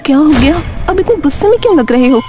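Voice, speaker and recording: A woman playing an indignant village wife in an audio story, sharp and questioning. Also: high-pitched, animated, fast, over music. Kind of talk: Hindi audio story drama.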